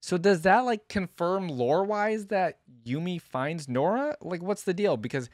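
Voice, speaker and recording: A man's voice: high voice, expressive, moderate pace, no echo, clean studio sound. A young man giving casual, off-the-cuff reaction commentary as a video host. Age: young adult.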